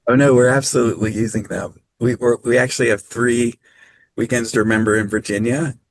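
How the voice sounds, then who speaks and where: A man presenting on a video call training, speaking in a measured, practical way with frequent ums. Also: medium pitch, steady tone, no echo. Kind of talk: online training talk.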